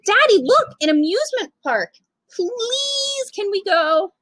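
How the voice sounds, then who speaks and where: A woman voicing an excited young daughter pleading with her father in a storybook. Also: very high-pitched, takes it slowly, no echo, studio quality, pitched above the performer's own voice, exaggerated voice.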